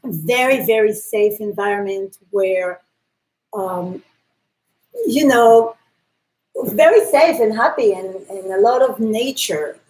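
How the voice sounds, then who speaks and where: Slow-talking woman, hesitant. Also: mid-range voice, darkish tone, low-quality recording. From Israel. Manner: slow, hesitant, many fillers. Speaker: podcast co-host. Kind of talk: casual conversation.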